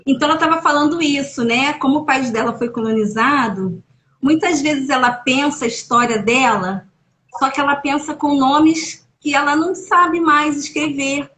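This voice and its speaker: A woman's voice: sits high, normal speed, a bit bright, poor audio. A woman with a Brazilian Portuguese accent, an educator who runs school workshops, talking casually and emphatically on a live video call. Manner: emphatic, encouraging, rising when making a point. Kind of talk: casual conversation on a live stream. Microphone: phone or laptop on a live video call.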